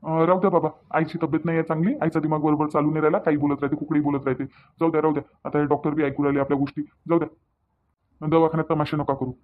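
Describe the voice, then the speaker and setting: A man's voice: on the high side, little pitch movement, quick pace, phone-quality sound, close to an everyday voice. A man voicing an exasperated, worried grown son in a cartoon, folksy and fretful, with a Varhadi Marathi accent. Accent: Varhadi, Vidarbha Marathi. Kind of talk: cartoon dialogue.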